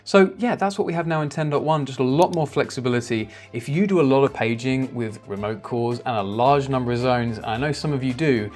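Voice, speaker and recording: A man working as a software trainer, explaining each step informally in a conversational screen-share tutorial. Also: mid-range voice, a little fast, hardly any pauses, soft background music.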